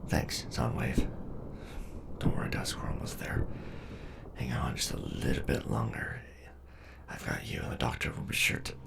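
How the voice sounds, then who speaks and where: A man playing a gruff, loyal, protective robot soldier in an audio drama, earnest and rough-edged. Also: very deep voice, animated, normal speed, heavily acted. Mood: reassuring, protective. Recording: very noisy voice track with ambience.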